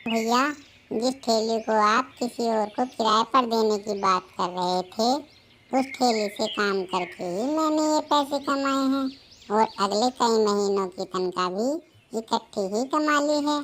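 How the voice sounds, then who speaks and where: A woman's voice: a little high, unhurried, a bit bright, slight background noise. A woman voicing a humble, earnest widowed bird who sells ice cream in a children's cartoon, speaking politely. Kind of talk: Hindi children's cartoon voice acting.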